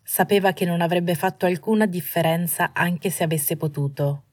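A woman's voice: lowish voice, moderate pace, dry recording, clean studio sound. A woman reading a scripted story as an audiobook narrator.

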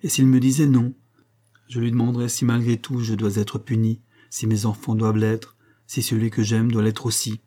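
A man's voice: medium pitch, little pitch movement, moderate pace, warm tone, lightly acted. A man voicing an anguished, defiant wife and mother in an audiobook, earnest and pleading. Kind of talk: dramatic audiobook dialogue.

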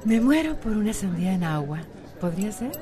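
An actress with a Costa Rican accent playing an elegant, melancholy salon owner, hesitant and evasive, in a radio soap. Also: female voice, medium pitch, very animated, fairly quick, crowd noise, lightly acted. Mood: polite, light.

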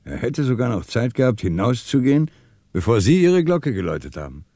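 An actor playing a shrewd, teasing police inspector in a German radio crime drama, brisk and commanding. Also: male voice, mid-range voice, sing-song, dark tone. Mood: probing.

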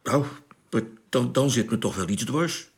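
Pensive spaceship radio operator, creaky voice. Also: male voice, medium pitch, lively intonation, a little slow. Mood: pensive, uneasy. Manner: hesitant, informal, thinking aloud. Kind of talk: radio play acting.

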